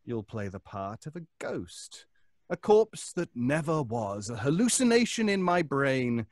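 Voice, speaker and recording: A man's voice: mid-range voice, very animated, a little slow, no echo, clean studio sound. A man playing a vengeful, mad failed actor in old-time radio suspense style, taunting his trapped rival with theatrical mock courtesy. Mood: gloating, menacing.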